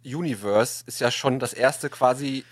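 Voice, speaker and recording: A man's voice: medium pitch, unhurried, dry recording. A man hosting a podcast in a casual, chatty interview style. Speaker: podcast host.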